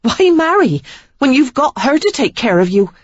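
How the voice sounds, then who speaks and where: A woman's voice: moderate pace, warm tone, husky, no room sound. Woman voicing fiery young woman Sarah. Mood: defiant, angry. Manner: pleading then fiery, high and emphatic. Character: ardent young woman, impulsive and defiant. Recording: slightly dull lo-fi recording.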